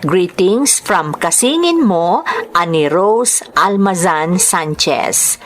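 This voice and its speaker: A woman's voice: on the low side, lively intonation, slow pace, slight background noise. A woman with an Ilocano accent hosting and narrating, reading greetings and a listener's letter aloud. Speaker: host and narrator of a drama channel.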